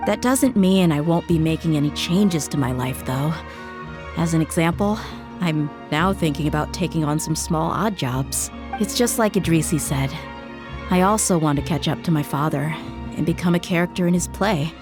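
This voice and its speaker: A woman doing a low-voiced, steady desert mercenary. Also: moderate pace, music in the background, natural voice. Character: tough, reflective female desert mercenary. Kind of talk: video game voice acting.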